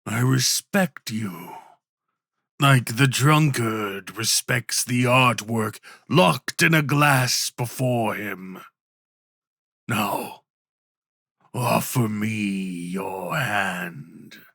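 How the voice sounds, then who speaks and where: A man voicing a huge, stoic infernal wolf in an ASMR roleplay, speaking in a deliberate, formal and teasing way. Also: mid-range voice, gravelly, a bit bright, no echo, studio quality, heavily acted. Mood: coaxing. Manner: slow, deliberate, formal speech. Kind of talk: ASMR roleplay character acting.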